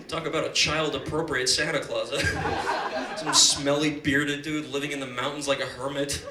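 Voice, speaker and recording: A young man doing a stand-up set at a comedy bar, chatty and swearing, with quick asides. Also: on the high side, flat delivery, heavy background noise. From Iceland, raised in the United States. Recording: echoey club from far off, audience.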